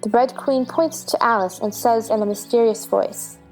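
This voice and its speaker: Brief: female narrator, slightly high pitch, reading scripted stage directions. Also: moderate pace, darkish tone, soft background music, poor audio.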